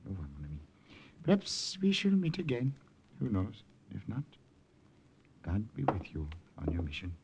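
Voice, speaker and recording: An actor playing a kindly, sly French village priest in a radio drama, with a French accent and a courteous, hesitant manner. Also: male voice, medium pitch, wildly swooping pitch, moderate pace, heavy background noise. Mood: warm, sly. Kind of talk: 1950s radio drama acting. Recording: muffled old radio recording.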